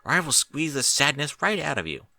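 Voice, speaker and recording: A man voicing an affectionate, excitable mother pony mare in a storybook. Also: a little high, a little fast, creaky, dry recording, voice pushed up, full character voice. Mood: playfully threatening.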